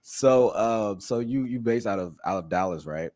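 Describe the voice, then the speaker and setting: A man from the northern US hosting a relaxed podcast chat. His voice is low yet swings widely in pitch, and he asks easygoing, slangy questions, laughing often. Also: normal speed. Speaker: podcast host. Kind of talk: casual interview chat.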